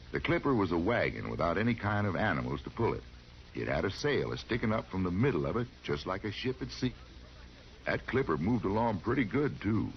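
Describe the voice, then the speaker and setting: A man's voice: sits low, vocal fry. A man with an American accent telling a scripted tale on 1950s radio in a folksy, conversational way. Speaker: storyteller. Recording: dull, slightly noisy 1950s radio recording.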